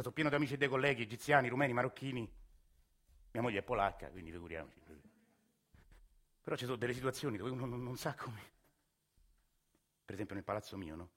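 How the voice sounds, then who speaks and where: A man's voice: fairly low pitch, fairly bright tone. An actor playing a weary, earnest working-class Roman family man, confiding his grievances in a colloquial theatrical monologue. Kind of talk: theatrical first-person monologue.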